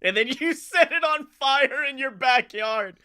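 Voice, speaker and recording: A man hosting a comedy podcast in a loud, sweary style of banter with mock announcer hype. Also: very high voice, lively intonation, a little slow, smooth. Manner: boisterous, sweary.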